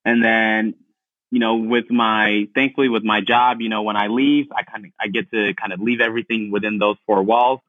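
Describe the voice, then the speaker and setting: A young man from Ghana, a podcast guest, telling his story in relaxed casual talk with many fillers. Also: lowish voice, normal speed, no room sound. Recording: dry tinny phone-like line.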